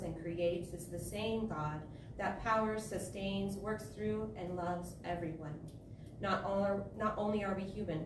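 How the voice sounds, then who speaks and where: A woman leading a spoken prayer service, phrasing solemnly and in measured short phrases. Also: fairly low pitch. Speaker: service leader reading candle lighting and prayer. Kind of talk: spoken liturgy and guided prayer. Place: live service in a spiritual center. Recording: echoey room, distant mic, clear background noise.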